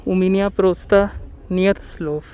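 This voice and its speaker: A man's voice: high voice, fairly even intonation, takes it slowly, smooth, muffled recording. A man from India, narrating a walking tour outdoors in a lakeside park, careful and dreamy, often correcting himself. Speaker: travel vlogger.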